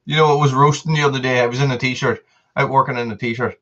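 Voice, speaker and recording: A man's voice: medium pitch, steady tone, fast talker, fairly bright tone, dull audio. A man, hosting a casual live stream and chatting to viewers. He rambles, repeats himself and laughs often. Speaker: channel host on a live stream.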